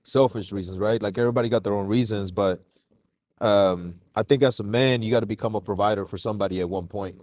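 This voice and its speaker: A man from Mexico talks loosely and casually, dropping slang like he's chatting with a friend. His voice sits fairly low. The sound is dry and close, with no room echo. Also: quick pace. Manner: relaxed, slangy, calls host "bro". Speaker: podcast guest. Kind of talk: casual podcast interview.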